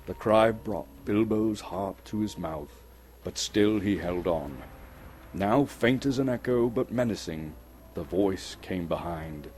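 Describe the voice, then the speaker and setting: A man giving a dramatic, suspenseful reading of a story, theatrical and full of deliberate pauses. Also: low-pitched, a little mellow, a little hiss or hum. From Canada. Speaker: storyteller, actor reading an audiobook chapter. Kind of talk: dramatic scripted narration. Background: music under the voice.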